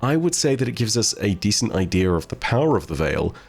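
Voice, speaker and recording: A man giving video game lore commentary in a measured, explanatory, wordy way. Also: fairly low pitch, very animated, gravelly, slightly rough. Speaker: video host. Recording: music behind the voice. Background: background music.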